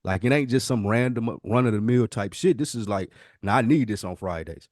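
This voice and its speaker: Male podcast co-host, rapid delivery, dry close sound, unfiltered street slang and swearing. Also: lowish voice, darkish tone, no room sound. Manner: slangy, profane, candid. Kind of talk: casual podcast conversation.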